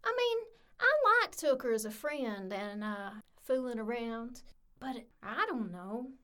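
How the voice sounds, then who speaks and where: A woman's voice: a little high, lively intonation, a little slow, sharp tone. A woman playing a brash, defensive, working-class young woman in a comedic audio drama, slangy and blunt. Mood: irritated.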